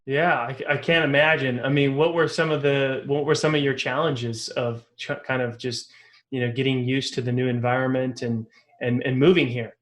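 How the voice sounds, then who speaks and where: A man's voice: medium pitch, fairly even intonation, moderate pace, a bit bright. A man hosting an interview podcast, asking loose, rambling questions and restarting his sentences. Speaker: podcast host and company founder.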